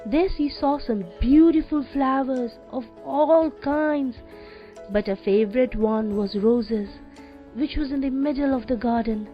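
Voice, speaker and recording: A woman with a Nepali accent hosting a children's radio show and reading stories aloud in a deliberate, clearly phrased way for young listeners. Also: high voice. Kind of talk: read-aloud children's storytelling, radio presenting. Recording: dull lo-fi radio audio with music. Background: music.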